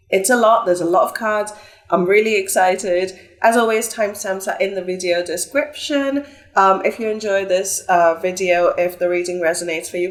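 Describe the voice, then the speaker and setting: Stuffy-voiced young woman doing a tarot reading, chatty with lots of ums. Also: on the low side. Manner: chatty, upbeat, with fillers. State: stuffy from a flu. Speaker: tarot reader. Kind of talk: pick-a-card tarot reading.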